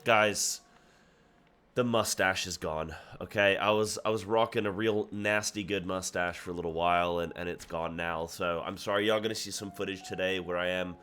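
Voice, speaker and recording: A man's voice: low voice, normal speed, smooth. A young man with a cold, sounding a little nasal, chatting casually and animatedly as he recaps his week of gaming for his viewers. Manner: chatty, animated, with frequent restarts. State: sick, slightly nasal. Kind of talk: casual solo recap to camera.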